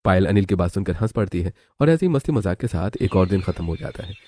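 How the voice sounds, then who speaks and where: A man's voice: deep voice, lively intonation, quick pace, a little mellow. A man reading scripted narration for a story.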